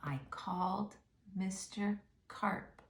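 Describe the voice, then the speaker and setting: A woman's voice: mid-range voice, extremely slow, gravelly, echoey room. A woman reading a picture book aloud to children, expressively, with questions and asides to her young listeners.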